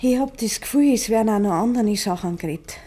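An actress playing an anxious, probing village wife in a 1950s Bavarian dialect radio play, speaking with grave insistence. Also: female voice, mid-range voice, fast, dark tone, breathy edge, close to an everyday voice. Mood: suspicious, probing.

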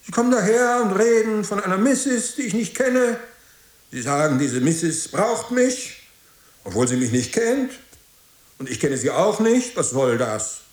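An actor playing a weary, guarded pastor in a radio drama, curt and defensive, with hesitant moments. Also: male voice, sits high, animated, measured pace, roomy sound. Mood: indignant. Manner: curt, brusque, questioning, hesitant at times.

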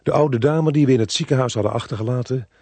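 A man playing a dry, watchful police inspector in a radio drama, guarded and businesslike, with a husky voice and terse delivery. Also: medium pitch, fast, a little mellow. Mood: sombre narration. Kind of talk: radio drama acting with first-person narration.

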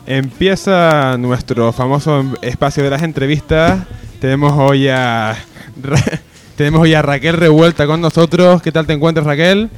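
A man's voice: on the high side, steady tone, moderate pace. A man hosting a comedy radio show, presenting a playful interview. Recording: loud music behind voice.

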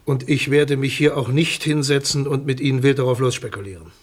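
An actor playing a formal, guarded public prosecutor in a radio crime drama, officious and sceptical, with a husky voice. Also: male voice, medium pitch, steady tone, a little fast, lightly acted. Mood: defensive.

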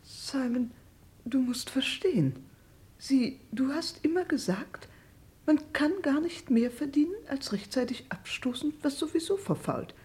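Older widow, high voice swooping all over, plaintive, rambly, old radio play. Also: female voice, animated, unhurried, darkish tone. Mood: plaintive, rambling. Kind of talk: vintage German radio crime drama acting.

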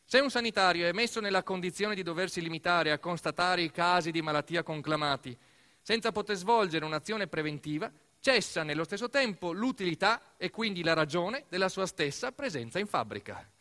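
A man's voice: sits high, expressive, fairly quick, bright, forceful tone, in a large hall. A man playing an indignant young factory doctor, declaiming a formal resignation in a stage monologue. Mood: firm, indignant.

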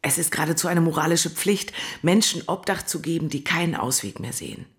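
An actress playing a high-minded heiress in a radio play, speaking in a lofty, moralising manner. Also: female voice, lively intonation, fairly quick. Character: high-minded heiress loftily justifying a grim scheme.

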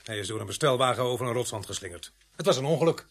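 An actor playing a gruff, sarcastic local police sergeant in a radio drama, with a dry, terse manner. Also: male voice, medium pitch, expressive, racing. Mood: curt, sarcastic. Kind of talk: Dutch radio drama acting.

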